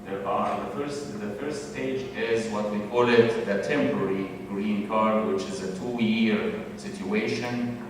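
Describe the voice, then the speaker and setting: A man's voice: fairly low pitch, distant mic, noisy background. Egyptian man presenting in an echoey hall. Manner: halting, many fillers and restarts. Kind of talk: public presentation.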